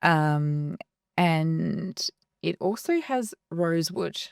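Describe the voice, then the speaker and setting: A woman, a perfumer, talking softly and hesitantly as a guest in a casual interview, with many fillers. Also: sits low, lively intonation, drawn-out words, gravelly, clean tone, no echo, studio quality.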